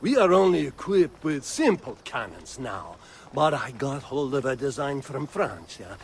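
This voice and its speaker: Animated, creaky engineer. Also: male voice, medium pitch, unhurried, slight background noise. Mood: boastful, enthusiastic. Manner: animated, emphatic. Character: excitable, boastful, scolding male cannon engineer. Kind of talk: video game cutscene acting.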